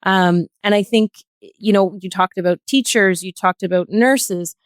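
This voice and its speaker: Female podcast guest, mid-range voice, dry recording, earnest and articulate, deliberate phrasing. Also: medium pitch, no echo. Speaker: podcast guest, healthcare worker. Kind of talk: earnest discussion.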